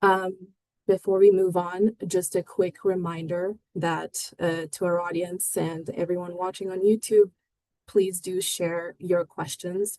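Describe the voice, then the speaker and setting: Soft-spoken woman moderating a panel. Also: lowish voice, no room sound, rough recording. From Bangladesh. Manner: hesitant, many fillers.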